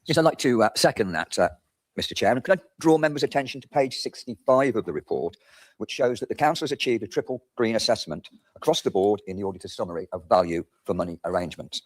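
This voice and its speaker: Lowish-voiced man with lively intonation. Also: fast. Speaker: councillor and portfolio holder. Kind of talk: formal council meeting speech. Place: council chamber.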